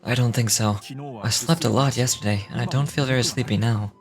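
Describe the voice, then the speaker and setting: A man performs a troubled man who wanders in his dreams. His voice sits at a middle pitch and his delivery is earnest video game dialogue. Music plays softly behind him. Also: a little fast, natural voice. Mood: apologetic, subdued.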